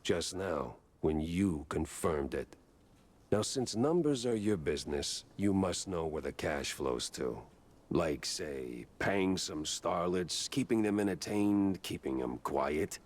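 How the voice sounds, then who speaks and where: An actor playing a world-weary, wisecracking mouse private detective in a 1930s noir cartoon game, gruff and deadpan. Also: male voice, sits low, takes it slowly, heavily acted. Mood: menacing, sardonic. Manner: deadpan, sardonic.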